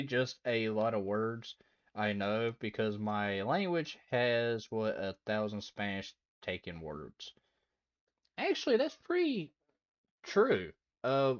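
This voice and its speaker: Rambling young man streaming, lo-fi sound. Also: mid-range voice. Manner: rambling, laughs easily, reads out chat messages. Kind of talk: casual livestream chat with viewers. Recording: dull lo-fi audio with faint background music.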